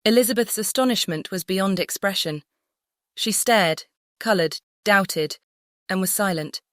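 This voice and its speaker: A woman's voice: medium pitch, unhurried, a bit bright, no room sound, clean studio sound. A woman narrating a classic novel as an audiobook, composed and carefully phrased. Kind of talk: scripted literary narration.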